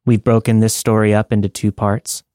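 A man's voice: fairly low pitch, little pitch movement, normal speed, darkish tone, no room sound, clean studio sound. A man hosting a story podcast, reading scripted narration.

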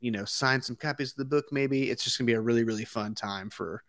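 A man's voice: medium pitch, fast talker, fairly bright tone, no echo, clean studio sound. A man co-hosting a casual online chat, playful and teasing, hyping up the events. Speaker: co-host.

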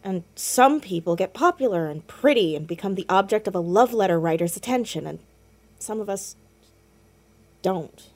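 A woman's voice: medium pitch, animated, moderate pace, a little mellow. A young woman playing a blunt, sardonic, self-conscious teenage girl in a naturalistic audio drama, easily exasperated. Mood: subdued.

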